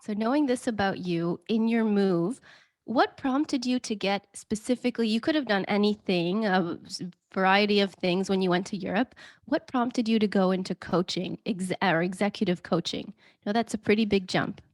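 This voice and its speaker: A woman's voice: medium pitch, normal speed. A woman who hosts an interview podcast, asking her guest friendly, polite questions. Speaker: podcast host. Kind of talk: scripted intro then interview questions.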